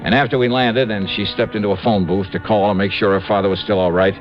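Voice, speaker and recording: An actor playing a smitten, wisecracking insurance investigator in a 1950s radio drama, wry and apt to stammer when flustered. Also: male voice, fairly low pitch, fairly even intonation, fast, lightly acted. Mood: smitten narrating. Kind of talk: 1950s radio detective drama, first-person narration and dialogue. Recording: muffled old radio recording, music behind.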